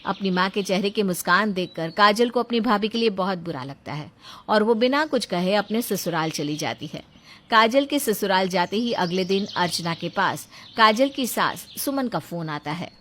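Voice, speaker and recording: A woman's voice: medium pitch, animated, moderate pace, a bit bright. A woman narrator reading a scripted bedtime moral story.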